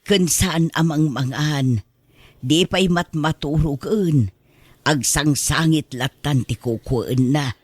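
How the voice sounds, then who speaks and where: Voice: medium pitch, slow pace, no echo. An actor with an Ilocano accent playing an exasperated but caring spouse in a radio drama, scolding and coaxing. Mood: complaining.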